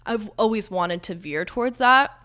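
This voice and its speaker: Young female singer-songwriter guest, low husky-edged voice with a bright ring, chatty and giggly. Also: moderate pace. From Los Angeles area. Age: young adult. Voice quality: low speaking voice, slightly raspy. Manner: giggly, lots of "like", quotes herself in asides. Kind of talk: casual interview chat.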